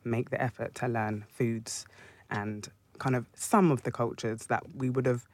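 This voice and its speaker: A young man from London, speaking in a reflective, soft-spoken way in a casual discussion. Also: mid-range voice, steady tone, normal speed. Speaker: panel guest.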